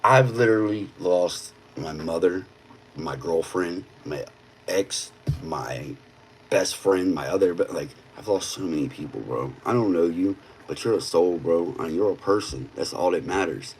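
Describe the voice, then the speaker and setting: A man's voice: low voice, lively intonation, a little slow, slight background noise, rough recording. A man in his thirties talking bluntly in casual streetwise slang on a livestream. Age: 30s. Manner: blunt, streetwise, peppered with "bro".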